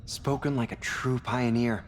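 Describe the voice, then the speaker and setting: A man's voice: medium pitch, fairly even intonation, a little slow, lightly acted. An actor playing a laid-back, probing space crewman in a game, casual and a little sardonic. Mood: admiring. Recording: long hall reverb, faint noise.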